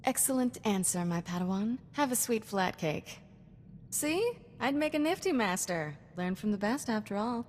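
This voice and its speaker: A woman's voice: medium pitch, animated, normal speed, a little hiss or hum, lightly acted. Sassy young woman Jedi in a reverberant space, acted. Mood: playful, cocky. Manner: wry, clipped, breezy banter. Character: sassy, cocky young female Jedi sidekick. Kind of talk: video game voice acting.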